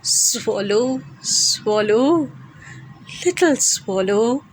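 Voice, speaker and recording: A woman's voice: fairly high pitch, animated, soft background music, low-quality recording. A woman voicing a kindly, sorrowful prince statue in a storybook reading, pleading in a solemn way. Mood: gentle, pleading. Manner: slow, solemn, repeating pleas.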